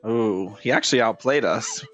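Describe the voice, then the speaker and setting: A man's voice: fairly low pitch, normal speed, faint music behind. A man giving casual gameplay commentary as a streamer, slangy and often repeating his phrases. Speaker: game streamer.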